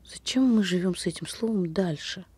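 An actress playing a hurt, sarcastic woman doubting her partner, with a husky voice and a wry, pointed delivery in a naturalistic audio drama. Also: fairly low pitch, moderate pace, mellow. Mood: wistful.

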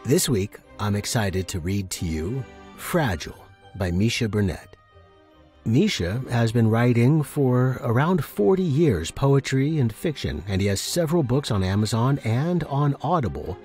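A man hosting and narrating fiction in a slightly husky voice. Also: lowish voice, animated, a little slow, a little mellow, soft background music. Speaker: podcast host and narrator. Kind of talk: scripted narration.

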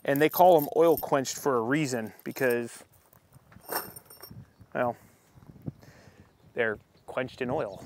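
A man in his thirties casually explaining his building work as he does it, relaxed and chuckling now and then, recorded outdoors on a windy site. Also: mid-range voice, takes it slowly, pauses often. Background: wind and machinery.

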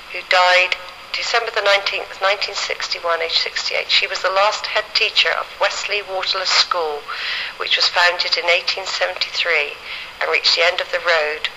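A man making informal spoken field notes on an amateur cassette recorder, haltingly reading inscriptions aloud. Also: high-pitched, pushed and bright, a little hiss or hum, rough recording. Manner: halting, piecing words together as he reads. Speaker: amateur church and gravestone researcher. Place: in and around country churches and churchyards. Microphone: handheld cassette recorder.

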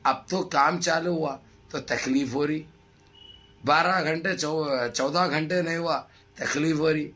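A middle-aged man with a hoarse throat gives impassioned political commentary to his viewers in emphatic, repetitive, rhetorical phrases. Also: a little high, fairly even intonation, a little slow, sharp tone. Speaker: news commentary vlogger. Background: background music.

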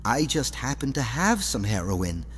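A man's voice: mid-range voice, sing-song, measured pace, a bit bright. An actor playing a creepy villainous man in an audio drama, coaxing and condescending. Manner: smooth-talking, condescending, coaxing. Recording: long echoing reverb, background hum.

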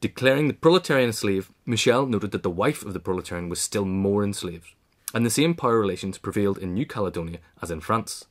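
A man narrates a written biographical essay. His voice is on the low side and he moves through the long sentences at a quick clip. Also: lively intonation. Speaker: reader of an essay.

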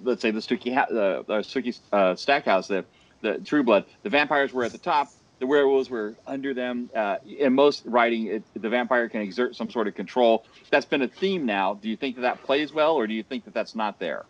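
A man's voice: mid-range voice, fairly quick. A man moderating an online panel over a headset, talking in long rambling turns with many restarts. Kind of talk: casual panel discussion. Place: home room. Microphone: headset over video call. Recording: muffled video call audio.

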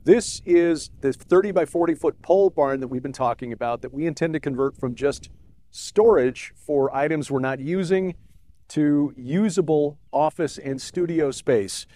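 A middle-aged man hosting a ministry program, giving a relaxed spoken tour with frequent fillers, recorded on a lapel mic in a large pole barn. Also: on the high side, moderate pace. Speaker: ministry host. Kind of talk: casual walkthrough talk to camera. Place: inside a large metal pole barn and outdoors beside it.

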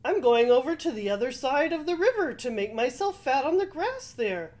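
Woman playing a crafty middle-sized billy goat, high-pitched. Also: big pitch swings, moderate pace, a little mellow. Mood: pleading, crafty. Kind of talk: children's storybook telling.

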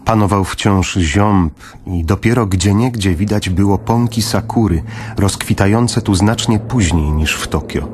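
A man narrating a literary radio drama in the first person, in a measured, somber manner. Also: fairly low pitch, a little mellow, slight background noise.

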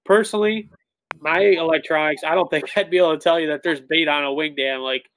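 A man in his thirties, a tournament bass angler, explaining things in a relaxed way full of "like" fillers over a video call. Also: brisk, a little hiss or hum, poor audio. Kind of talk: casual interview talk. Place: indoor room with shelves of tackle. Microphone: webcam on a video call.

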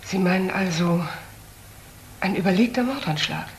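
A woman's voice: lowish voice, moderate pace, close to an everyday voice. Woman acting cool country doctor in a crime radio drama, challenging and matter of fact, echoey room. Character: cool, blunt, self-assured female country doctor. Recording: roomy audio drama, faint noise.

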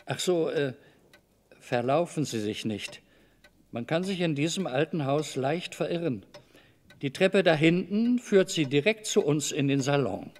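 A man's voice: on the high side, lively intonation, unhurried. A man playing an evasive, overly cordial middle-aged master of a house in a German radio drama, wordy, hesitant and patronizing. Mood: jovial, fussy.

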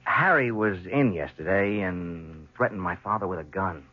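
Earnest young son, kinda hesitant n husky, dull old radio drama sound. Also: male voice, low voice, animated, normal speed. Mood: earnest, troubled. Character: earnest, shaken young son of murdered businessman. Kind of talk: 1970s radio drama acting. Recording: muffled vintage radio broadcast.

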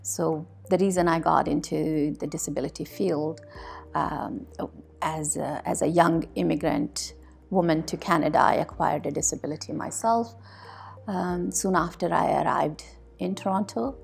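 A middle-aged woman from South Asia telling her story in a reflective interview, halting with frequent pauses. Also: deep voice, steady tone, gravelly. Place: quiet office meeting room. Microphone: lapel mic.

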